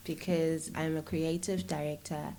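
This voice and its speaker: A South African woman speaks as a guest in an interview. Her voice sits fairly low for a woman, and she talks in a casual, conversational way. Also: flat delivery, a little slow, mellow, a little hiss or hum. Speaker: interview guest, filmmaker and YouTuber.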